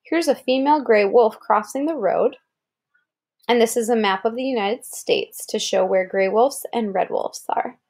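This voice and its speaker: Female, higher-pitched voice, read-aloud narration for a young listener, explanatory delivery with casual asides. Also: a little mellow. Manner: explanatory, pausing to gloss words and point out pictures. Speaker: reader presenting an article aloud to a child.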